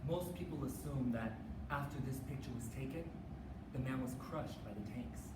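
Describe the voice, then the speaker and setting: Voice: mid-range voice, moderate pace. A performer giving a rehearsed, dramatic spoken-word recitation in a declamatory, emphatic way. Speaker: reader's theater performer. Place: live competition performance in a room. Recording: roomy, distant mic, noisy room.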